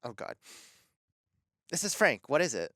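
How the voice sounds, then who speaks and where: A harried male radio host, played by an actor, in a middle register with lively swings. He stammers and sounds exasperated, recorded very dry, audio drama style. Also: mid-range voice, a little slow, no echo, exaggerated voice. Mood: weary, apologetic.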